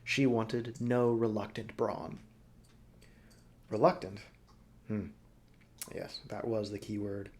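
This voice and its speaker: A man narrating a story as an audiobook reader, expressive and dramatic, phrasing deliberately. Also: lowish voice.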